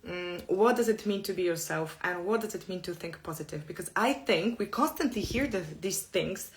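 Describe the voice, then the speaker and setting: A middle-aged woman from Poland, whose first language is Polish, speaking English with a Polish accent. She gives a personal self-help talk in an earnest, open way, in slow and careful phrases. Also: lowish voice, slight background noise.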